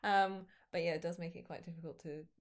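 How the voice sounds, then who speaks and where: A woman's voice: on the low side, steady tone, brisk. A woman talking casually about her comic on a live stream, chatty and self-deprecating with frequent restarts. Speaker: comic writer co-host.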